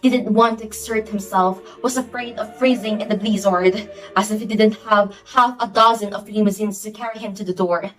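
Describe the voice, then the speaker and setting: A woman's voice: mid-range voice, steady tone, moderate pace, lightly acted. A young woman playing an imperious, easily outraged society hostess and domineering mother in an amateur stage play, with a haughty, melodramatic delivery. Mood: indignant. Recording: distant mic under music.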